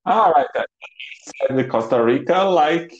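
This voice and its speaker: A man with a Brazilian accent speaks slow, halting English on a video call, stopping and restarting as he searches for words. His voice sits at an ordinary pitch and is spoken at a deliberate pace. It comes through a laptop mic in a roomy home office, with dull, muffled call audio. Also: animated. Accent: Brazilian Portuguese. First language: Portuguese. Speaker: English student. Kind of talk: online lesson chat. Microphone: laptop webcam mic on a video call.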